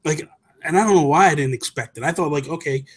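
An American man with a slightly high voice talks casually about games as a podcast co-host. He is emphatic and often repeats himself to drive a point home, speaking close to a desk mic. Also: fast. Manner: emphatic, repeats phrases for stress. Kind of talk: casual gaming chat. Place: small home room, indoors. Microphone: desk microphone close up, headset.

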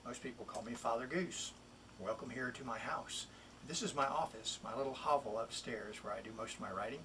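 A middle-aged man, a children's author, introducing and expressively reading a picture book in a deliberate, emphatic way. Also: mid-range voice. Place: small wood-panelled home office, indoors. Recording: distant mic, room noise.

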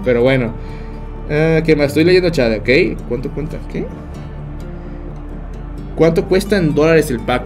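A young man with a Mexican accent, livestreaming a game and chatting with viewers, joking and laughing often as he tells stories. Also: fairly high pitch. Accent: Mexican Spanish. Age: young adult. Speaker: streamer. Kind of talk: live game stream chat. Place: small bedroom.

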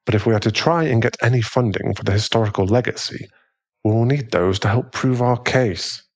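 A man acts out an aristocratic British werewolf fiancé for an ASMR audio drama. The voice is a fairly low male one, affectionate and polite, with a gentle, unhurried delivery. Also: lightly acted. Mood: businesslike, calm. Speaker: ASMR boyfriend voice actor. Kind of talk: ASMR boyfriend audio roleplay.

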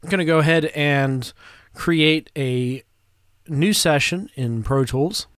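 A man talks through a how-to. His voice is smooth and a little high, recorded close and dry. He explains each step casually and without hurry, hesitating as he goes. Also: slow pace, fairly bright tone, dry recording. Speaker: audio restorer presenting a how-to. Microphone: close microphone in front of him.

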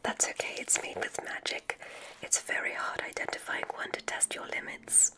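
A woman performing a witch examiner ASMR roleplay in a breathy whisper, with a gentle, soothing, deliberate and encouraging manner.

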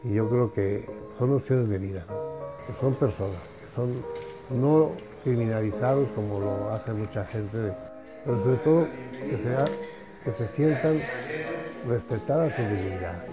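An older man, a priest who runs a migrant shelter, speaking earnestly and in a measured way in a documentary interview. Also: medium pitch. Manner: earnest, measured, pausing with fillers. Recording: guitar music underneath.

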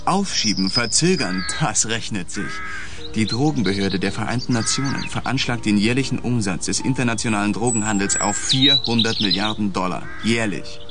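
A man's voice: fairly low pitch, normal speed, loud music behind the voice, natural voice. An actor playing an earnest, cautious male security investigator in a radio play, matter-of-fact and insistent.

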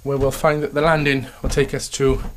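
A man's voice: medium pitch, level tone, brisk, fairly bright tone, a little hiss or hum. A man, an estate agent, giving an upbeat walkthrough tour of a house, full of sales patter and repeated "okay" and "fantastic".

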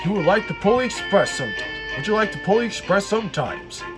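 Man as a high-voiced, kindly railway boss. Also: big pitch swings, fast talker, loud music behind the voice. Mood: encouraging.

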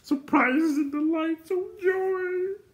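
A man voicing a small, excitable, fawning creature in an audiobook, boasting of himself in rhyming, gleeful bursts. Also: extremely high pitch, takes it slowly, voice pushed up. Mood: gleeful, boastful. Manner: rhyming, third-person self-praise in gleeful bursts. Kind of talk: audiobook character voice.

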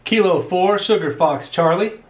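A man's voice: high voice, unhurried. A middle-aged man with an American accent talking casually and informally as an amateur radio hobbyist. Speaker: amateur radio operator and vlogger. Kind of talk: casual vlog talk with radio call-outs. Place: small home radio room, indoors. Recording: noisy room with radio static.

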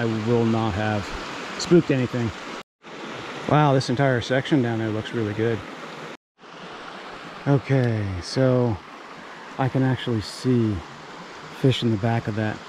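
Rambling man by a rushing stream. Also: medium pitch. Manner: rambling, thinking aloud with fillers and restarts. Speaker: fishing vlogger. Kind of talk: casual narration while hiking and fishing. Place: outdoors by a mountain stream. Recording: outdoor recording with stream noise. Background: rushing stream water.

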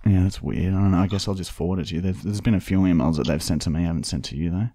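Low-voiced Australian man, sweary and halting. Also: warm tone. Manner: sweary, halting, matter-of-fact. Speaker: podcast host. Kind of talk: casual prank phone call. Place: podcast studio. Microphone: close podcast microphone on boom arm.

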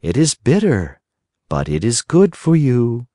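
A man voicing a gentle, loving fairy woman who coaxes patiently. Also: mid-range voice, huge pitch swings, measured pace, no echo, studio quality. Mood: coaxing. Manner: gentle, coaxing.

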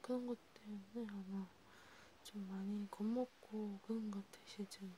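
Woman doing a vampire counselor asmr, mellow breathy whisper, halting and intimate, bit of background noise. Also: medium pitch, fairly even intonation, natural voice. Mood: soft, reflective. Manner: intimate, halting, repetitive casual speech. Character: female vampire counselor chatting sympathetically. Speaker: ASMR creator.

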